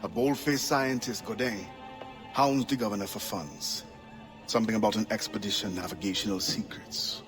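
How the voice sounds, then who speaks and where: An actor playing a proud, guarded assassin who was once enslaved, speaking in a grave, formal, wary way in a video game cutscene. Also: male voice, lowish voice, fairly even intonation, moderate pace, music in the background, natural voice. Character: proud, guarded freed-slave assassin, adult man.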